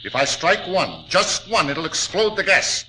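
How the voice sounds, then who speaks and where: Piercing, desperate radio-drama villain. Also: male voice, sits high, sing-song, unhurried, bright, forceful tone, full character voice. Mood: threatening, desperate. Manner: melodramatic, brooding. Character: desperate, fanatical male villain trapped in a mine. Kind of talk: 1940s radio acting. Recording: noisy old 1940s radio recording.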